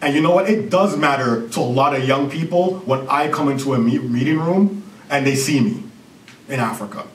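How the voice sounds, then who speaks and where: Energetic Jamaican-accented man in a hall. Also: medium pitch, a little fast, slight background noise. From Canada. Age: 30s. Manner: energetic, jokes with audience. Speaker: company CEO presenting. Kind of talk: conference presentation. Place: university lecture hall. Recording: roomy hall recording from far off.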